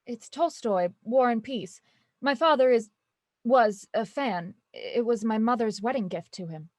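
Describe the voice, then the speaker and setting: An actress playing a timid young actor who voices a shaken heiress, speaking haltingly. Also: female voice, fairly high pitch, no room sound. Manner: hesitant, halting. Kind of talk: audio drama acting. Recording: dry close studio sound.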